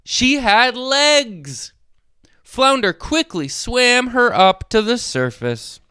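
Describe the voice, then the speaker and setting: Man, children's storyteller, high smooth voice, unhurried narration, very lively intonation, expressive read-aloud. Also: no echo. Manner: expressive, addresses young listeners directly. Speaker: storyteller, host of a read-along channel. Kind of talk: animated children's read-aloud with short asides.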